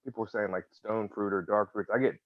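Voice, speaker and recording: A man's voice: on the low side, fast, no echo. A man guest describing his tasting notes plainly, thinking aloud as he goes. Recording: dull dry lo-fi sound.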